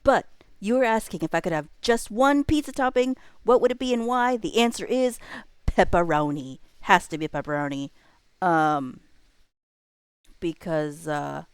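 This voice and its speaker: A woman live-streaming in casual, meandering chat. Her voice sits a little low, and the sound is dry with almost no room to it. She thinks out loud and drifts easily from one aside to the next. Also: measured pace, smooth, no echo. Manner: rambling, easily sidetracked, thinking aloud. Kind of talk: casual livestream chat while playing a game.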